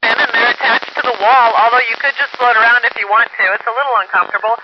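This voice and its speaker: A man's voice: very high-pitched, steady tone, fast talker. A man speaking as an astronaut over a two-way radio, answering questions in a casual, explanatory way. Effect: two-way radio transmission. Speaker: astronaut aboard a space station answering questions. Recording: muffled lo-fi amateur radio link, hissy static.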